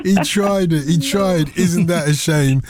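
A man's voice: a little high, a little slow, crowd noise. A man hosting a late-night radio music show, chatting casually with a caller in a playful, wordy, teasing way.

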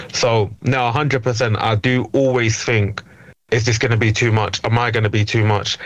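A man's voice: mid-range voice, dry recording. A man answering an interview openly and reflectively. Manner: open, self-reflective. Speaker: interview guest. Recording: dull dry recording.

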